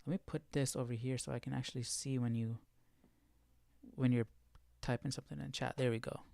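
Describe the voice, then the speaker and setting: A man's voice: medium pitch, little pitch movement, fairly quick, vocal fry, dark tone, no echo. A man hosting a casual live Bible study, explaining as he thinks aloud and often posing questions. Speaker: livestream Bible study host.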